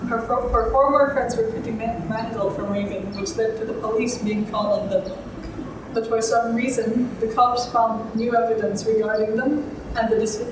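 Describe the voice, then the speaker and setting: A young adult woman reading scripted narration for a horror radio drama, stumbling and repeating words now and then. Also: fairly high pitch. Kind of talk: scripted narration, read aloud. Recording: very echoey room, distant mic, noisy background.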